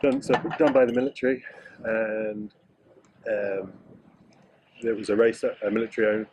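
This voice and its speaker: A middle-aged English man with a somewhat low voice chats casually, dropping in jokes and pausing on fillers. Faint noise sits under his voice. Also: steady tone, a little mellow, poor audio. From England. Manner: joking, hesitant, with fillers. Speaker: interviewee, mountain bike guide. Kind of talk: casual interview chat.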